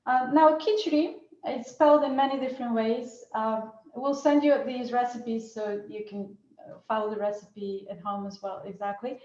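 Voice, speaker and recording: A woman's voice: on the high side, steady tone, normal speed, darkish tone. A woman teaching Ayurvedic cooking over a video call, explaining patiently and often restarting her phrases. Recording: roomy and muffled video call audio.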